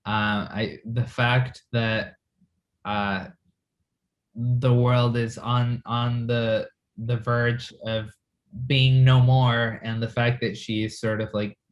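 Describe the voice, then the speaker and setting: A man being interviewed as a filmmaker, talking casually and reflectively, with halting phrasing. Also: mid-range voice, little pitch movement, muffled recording. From grew up in the West.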